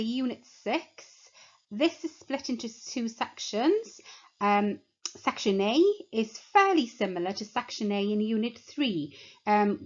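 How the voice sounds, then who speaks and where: A woman teacher giving a measured talk that explains a course to prospective students. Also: medium pitch, a bit bright, highs cut off. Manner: measured, explanatory, lists points slowly.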